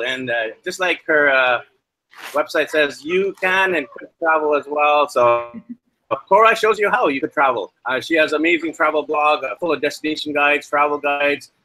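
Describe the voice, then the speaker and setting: A man hosting a travel podcast over a video call from a hostel, chatty and filling pauses with "uh". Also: a little high, bright, forceful tone, rough recording. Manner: chatty, many uh fillers. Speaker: podcast host. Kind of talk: podcast interview. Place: hostel with weak Wi-Fi.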